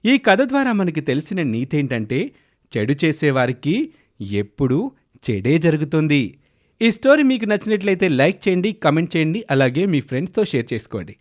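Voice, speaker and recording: A man reads a scripted children's tale as its storyteller. His voice sits a bit high and moves about in lively swings of pitch. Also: normal speed.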